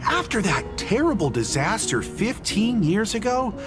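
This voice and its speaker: An actress playing a chatty, gossipy street vendor in a video game. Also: female voice, mid-range voice, sing-song, a little slow. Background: game music.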